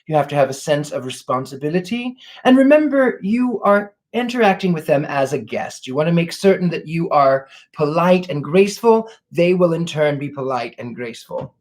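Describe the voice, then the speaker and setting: A man hosting a casual livestream, answering viewer questions in a courtly, gracious way, thanking people warmly and pausing to restart his sentences as he searches for words. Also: fairly high pitch. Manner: reflective, many restarts while searching for words. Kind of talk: casual live Q&A chat.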